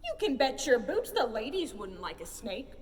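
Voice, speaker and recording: A woman acts out a folksy, exasperated sheriff in a children's Western tale. The voice is loud and high, leaping in pitch as he blusters. It sounds in a large reverberant space, off the mic. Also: very animated, moderate pace. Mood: stern. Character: exasperated, blustering Old West sheriff, a man. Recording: roomy hall, distant mic, faint noise.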